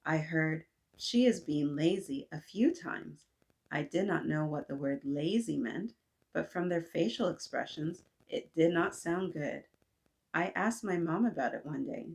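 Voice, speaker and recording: A woman, reading a children's book aloud and gently pausing to talk with young listeners. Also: deep voice, creaky. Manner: gentle, asks kids to reflect, frequent "right?". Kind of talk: read-aloud storytelling for kids. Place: indoors, small room with painted mural.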